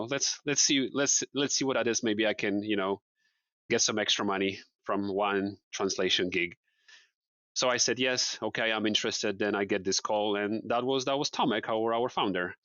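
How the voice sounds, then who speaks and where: Low bright-toned Polish man, dull sound. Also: dry recording. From Poland. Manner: relaxed, repeats words, laughs lightly. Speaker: guest. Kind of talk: casual interview.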